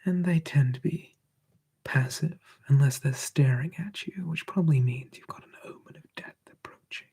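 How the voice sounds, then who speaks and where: A storyteller telling folklore in a slow, hushed, playful whisper, ASMR style. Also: mid-range voice, husky.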